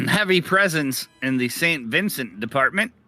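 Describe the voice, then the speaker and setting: A man's voice: on the high side, animated, a little slow, vocal fry, bright, forceful tone, no echo. A man giving live video game commentary, phrasing emphatically and repeating words for stress. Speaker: esports commentator. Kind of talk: live video game tournament play-by-play and analysis. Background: music.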